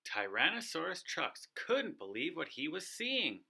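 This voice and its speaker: Male co-reader for a picture book, sharp bright tone, animated and expressive delivery for kids. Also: on the high side, a little slow, creaky, breathy edge. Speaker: co-reader of a children's read-aloud. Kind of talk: animated children's storybook narration.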